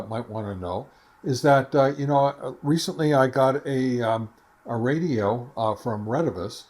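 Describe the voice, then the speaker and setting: A man's voice: medium pitch, a little mellow. A man hosting a ham radio video, chatting casually and playfully from his home radio shack, rambling with plenty of ums and uhs. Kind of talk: casual vlog chat.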